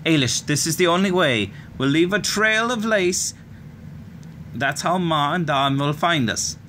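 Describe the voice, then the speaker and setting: A man's voice: fairly high pitch, lively intonation, a little slow, a bit bright, a little hiss or hum, voice pushed up. A man voicing a brave older Irish girl who speaks urgently and soothingly.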